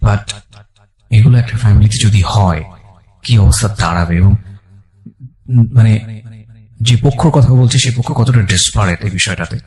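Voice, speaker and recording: A man's voice: lowish voice, normal speed, pauses often, darkish tone, poor audio. A man hosting a radio story show, interviewing conversationally with probing questions, asides to listeners and some English mixed in.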